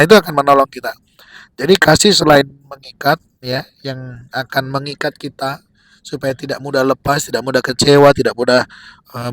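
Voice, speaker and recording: A man giving a devotional sermon, exhorting in short, repeated phrases with pauses between them. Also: mid-range voice, a bit bright, dry recording. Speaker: preacher giving a daily devotional.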